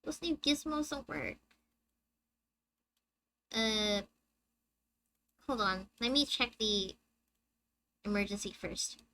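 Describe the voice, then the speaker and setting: A young woman with a high-pitched, squeaky voice, chatting with her viewers on a live game stream and reading the story text aloud. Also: expressive, takes it slowly, long pauses, sharp tone. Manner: chatty, reads dialogue aloud and answers chat.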